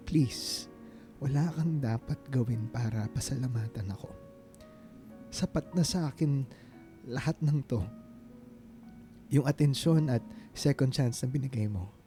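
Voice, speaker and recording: An actor playing a devoted, reassuring suitor in a Filipino radio drama, speaking earnestly and mixing in English. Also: male voice, medium pitch, dark tone, natural voice.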